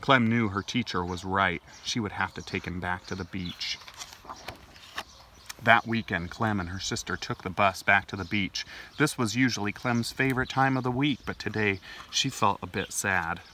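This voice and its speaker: A man in his thirties hosting storytime for young children outdoors, with an animated, gentle and expressive delivery. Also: fairly low pitch, moderate pace. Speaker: library storytime host. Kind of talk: read-aloud storytelling and chat for young children. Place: outdoors in a leafy garden. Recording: outdoor background noise.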